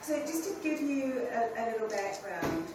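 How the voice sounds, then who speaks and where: Middle-aged New woman, panel speaker, candid and conversational; distant mic in a boomy hall with noise behind her. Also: expressive, moderate pace, crowd noise. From New Zealand. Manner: conversational, direct, restarts phrases. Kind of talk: informal conference talk. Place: large conference hall. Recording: very echoey hall from far off, with ambient noise.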